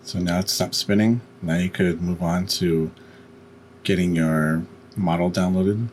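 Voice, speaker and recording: A man giving a step-by-step software tutorial in a halting way, pausing in mid-sentence. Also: low voice, steady tone, slight background noise. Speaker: tutorial host.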